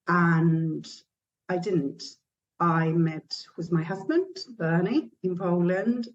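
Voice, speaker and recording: A woman's voice: lowish voice, a little mellow, a little hiss or hum. A woman from Argentina, answering at length in a reflective, articulate way. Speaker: interviewee. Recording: dull lo-fi recording.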